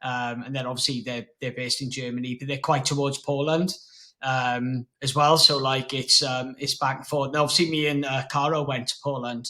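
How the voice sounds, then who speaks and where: A man's voice: medium pitch, little pitch movement, moderate pace, sharp tone. A man hosting an interview podcast, chatty and rambling, with lots of fillers. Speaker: podcast host. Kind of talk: casual interview chat.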